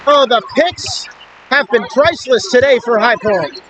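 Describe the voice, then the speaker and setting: Male basketball play-by-play announcer, high and animated, excitable delivery, very noisy live arena behind. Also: measured pace, lo-fi sound. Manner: excitable, calling out plays. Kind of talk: live basketball radio commentary. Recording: very loud arena crowd noise. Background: basketball game crowd and bouncing ball.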